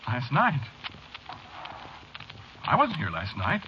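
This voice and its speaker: A man's voice: mid-range voice, wildly swooping pitch. Frightened man unraveling, old noisy radio. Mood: confused, scared. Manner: agitated, halting, pleading. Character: frightened, unraveling man haunted by the number three. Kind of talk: old-time radio horror drama acting, first-person narration. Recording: noisy, muffled old radio drama recording.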